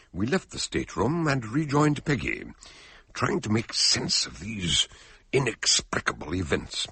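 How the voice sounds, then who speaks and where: An actor playing a dignified, wordy gentleman investigator recounting his adventure in a radio drama, formal and donnish. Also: on the low side, breathy edge, dry recording, exaggerated voice. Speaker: investigator and narrator. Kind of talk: radio drama acting with storytelling narration.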